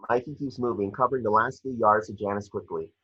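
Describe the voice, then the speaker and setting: A man's voice: fairly low pitch, fairly even intonation, fast talker, a bit bright, breathy edge, dull audio. A man narrating action descriptions at a script read-through, matter-of-fact and sometimes stumbling over words.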